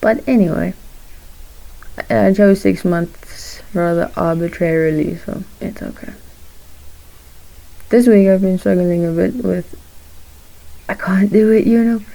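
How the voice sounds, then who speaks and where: A shy young woman who grew up in Morocco chats casually while drawing at home, halting often and laughing between thoughts. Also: mid-range voice, fairly even intonation, vocal fry, warm tone, poor audio. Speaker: vlogger, aspiring character designer.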